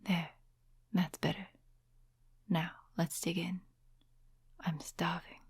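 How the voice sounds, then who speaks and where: A woman acts out a composed, mysterious witch in an ASMR fantasy roleplay. Her voice is low and breathy, held very even in pitch. She speaks softly and without hurry, in courteous, old-fashioned storybook phrasing. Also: no room sound, exaggerated voice. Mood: polite, expectant. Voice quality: breathy, whispery soft voice. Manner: unhurried, gentle, formal storybook phrasing. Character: soft-spoken female witch, composed, courteous, quietly mysterious night owl. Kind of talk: soft-spoken ASMR roleplay.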